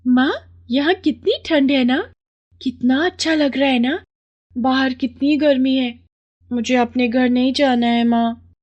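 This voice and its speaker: Woman as a little village girl, innocent, high voice, plaintive pleading, storybook drama, slightly dull audio. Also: a little slow, darkish tone. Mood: wistful delight. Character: innocent, pleading six-year-old poor girl.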